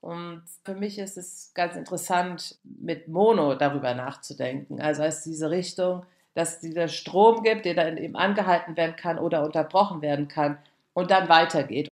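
Low-voiced woman in a roomy space. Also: moderate pace, darkish tone. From Frankfurt, Germany. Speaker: artist, interviewee. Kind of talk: reflective interview talk. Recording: roomy echo around the voice.

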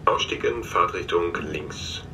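A man playing a formal train announcer in a radio play, giving a measured announcement to passengers. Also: bright, forceful tone, low-quality recording. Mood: formal, neutral. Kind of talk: train station-stop announcement. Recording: train announcement over faint rumble.

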